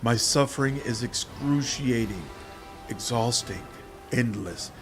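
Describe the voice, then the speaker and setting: A suffering, hungry demon made of countless insects, played by a man, speaks as game dialogue. It is very slow and halting, setting words out one at a time at a middling pitch, with music underneath. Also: close to an everyday voice. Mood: anguished. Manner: halting, intoning lists of synonyms one by one. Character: many-bodied demon insect swarm, female, captive, hungry, suffering.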